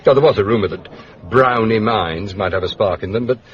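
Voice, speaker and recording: An actor playing an urbane, shrewd gentleman detective in a British radio drama, with an upper-class English accent and polished, drily understated manner. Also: lowish voice, a little fast, lightly acted. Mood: dryly curious. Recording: muffled, dull radio drama recording.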